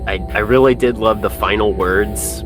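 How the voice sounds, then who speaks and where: A man's voice: fairly low pitch, fairly even intonation, normal speed, music in the background. A man co-hosting a casual film chat, agreeable and easygoing in his wording, with some swearing. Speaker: podcast co-host.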